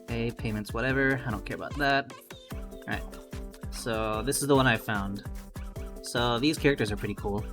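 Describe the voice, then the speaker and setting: A man's voice: mid-range voice, normal speed, pauses often, music in the background. A man who does amateur voice acting, chatting casually and thinking aloud as a laid-back, informal vlog host.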